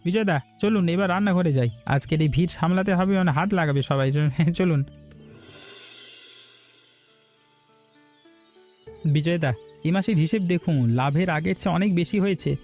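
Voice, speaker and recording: A man's voice: on the high side, fairly quick, a little mellow, faint music behind, lightly acted. A man playing a loyal, eager hotel assistant in a cartoon, deferential and earnest. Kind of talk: Bengali moral-story cartoon dialogue.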